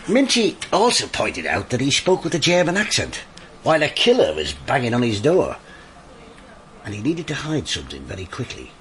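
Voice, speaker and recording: A man's voice: mid-range voice, wildly swooping pitch, moderate pace, a little hiss or hum. A man acting a dogged, wry police inspector in a British radio drama, with a colloquial, slangy British delivery. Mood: keen, sardonic.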